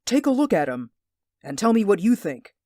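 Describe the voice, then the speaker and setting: A woman's voice: medium pitch, sing-song, moderate pace, dark tone, no room sound, clean studio sound, lightly acted. A woman playing an anxious, insistent man in a radio drama, speaking earnestly and emphatically. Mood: excited, insistent. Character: anxious, insistent male stage actor spying on neighbours.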